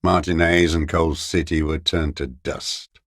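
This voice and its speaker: Very deep, gravelly old deserter. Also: male voice, level tone, a bit bright, no room sound, clean studio sound, exaggerated voice. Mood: wistful. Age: older. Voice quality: gravelly, raspy, husky. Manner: slow, muttering, contemptuous delivery with terse fragments. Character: bitter old communist deserter, hiding in ruins. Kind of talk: video game character voice acting.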